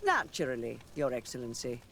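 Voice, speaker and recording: A man's voice: medium pitch, sing-song, fairly quick, creaky, background noise. A man playing a proud, sceptical religious leader in a video game, speaking in a formal, theatrical and probing manner. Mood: stately, resolved. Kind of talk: video game cutscene dialogue acting.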